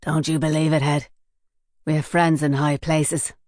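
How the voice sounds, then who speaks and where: A woman's voice: deep voice, level tone, a little slow, darkish tone, no room sound, studio quality. A woman voicing a cocky, menacing male gang leader who mocks his captive. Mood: mocking. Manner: mocking, sneering.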